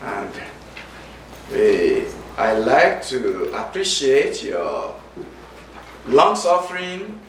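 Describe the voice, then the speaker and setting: A man with a Nigerian accent preaching a sermon, speaking slowly and distinctly and reading scripture aloud. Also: medium pitch, huge pitch swings, a little hiss or hum. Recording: echoey room, from far off.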